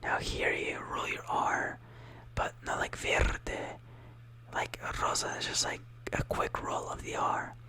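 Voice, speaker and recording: A speaker whispering a casual ASMR lesson, softly repeating words and rambling with lots of fillers. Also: slow pace. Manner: soft whispering throughout. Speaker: teacher of Italian words.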